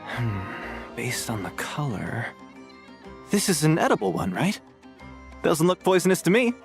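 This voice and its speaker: A woman's voice: low voice, very animated, measured pace, creaky, music in the background, exaggerated voice. A woman voicing a casual, confident quiz attendee in an anime fantasy game. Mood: casual, musing.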